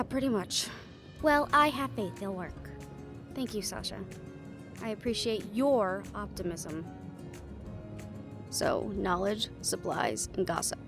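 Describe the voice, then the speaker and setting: A woman's voice: fairly low pitch, gravelly. A woman playing a witty, confident young female adventurer in a playful, conversational audio roleplay. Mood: earnest, then bantering. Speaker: amateur voice actor. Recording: music behind the voice.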